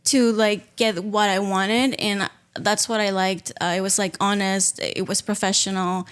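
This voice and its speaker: Peruvian woman in her thirties, mid-pitched. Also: level tone, a little slow, clear, resonant tone. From Peru. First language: Spanish. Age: 30s. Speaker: podcast guest, patient. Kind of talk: casual personal account.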